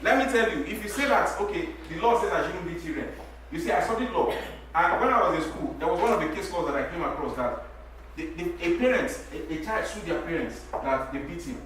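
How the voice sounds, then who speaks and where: A middle-aged Nigerian man with a fairly high voice speaks on a church panel, animated and repetitive, breaking into laughter as he makes points. His voice rings through a very echoey hall, picked up from some distance, with faint room noise. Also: brisk. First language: Yoruba. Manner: animated, repeats words, laughs while making points. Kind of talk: lively church panel talk.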